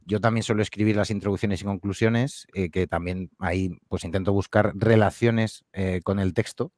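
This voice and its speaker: Deep-voiced guy talking fast, dry close sound, lots of ehs and restarts. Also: steady tone, darkish tone, no echo. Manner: hesitant, many fillers and restarts, friendly. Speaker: podcast creator and guest. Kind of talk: relaxed interview answers. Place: home studio.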